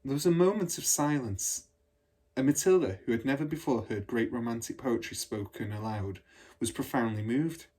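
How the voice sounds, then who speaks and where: Man reading a kids story out loud, expressive teacher style, mid pitch, a bit husky. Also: moderate pace. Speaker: teacher reading aloud to pupils. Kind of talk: storybook narration.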